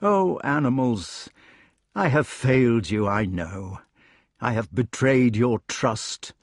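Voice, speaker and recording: A man's voice: medium pitch, animated, takes it slowly, no room sound, clean studio sound. A man voicing a wretched, betrayed old king in a storybook, impassioned and declamatory, raging and pleading. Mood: weary, sorrowful. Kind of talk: dramatic audiobook character reading.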